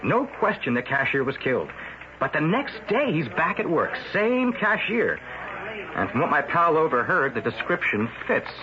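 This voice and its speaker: A persistent young reporter pressing his boss with questions in a vintage radio drama. His voice sits at a middle pitch and swings around a lot. The recording is dull and lo-fi with clear hiss. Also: very animated, moderate pace. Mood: insistent. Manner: pushy, eager questioning. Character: nosy young male newspaper reporter, persistent. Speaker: newspaper reporter. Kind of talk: 1970s radio drama acting. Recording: lo-fi old radio broadcast, hiss.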